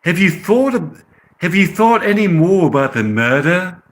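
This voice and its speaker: An American man acts out an earnest Englishman in an amateur script reading. The English accent is shaky and put on, the pitch lifts and swings, and the delivery is halting and deliberate. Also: sing-song. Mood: inquiring.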